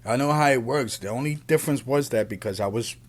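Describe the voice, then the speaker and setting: A man, born in Puerto Rico and raised in the Bronx, giving an earnest, unscripted opinion monologue as a podcast host, often halting and repeating himself. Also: mid-range voice. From Puerto Rico, raised in the Bronx, New York City.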